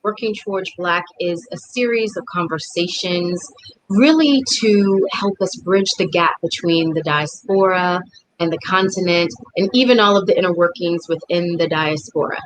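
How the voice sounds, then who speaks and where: A woman's voice: lowish voice, moderate pace, slight background noise, low-quality recording. A woman hosting a live online interview series, reading the introduction with frequent fillers in a friendly way. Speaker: host.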